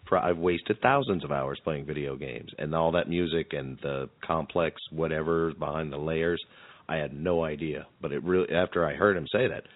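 A man with a very low voice hosts a casual podcast chat. He talks haltingly and with self-deprecation, and the audio is muffled and narrow like a phone. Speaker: podcast host.

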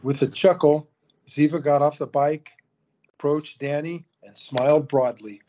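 A man narrating an audio drama in a steady storytelling manner. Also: fairly high pitch, measured pace. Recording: dull lo-fi recording.

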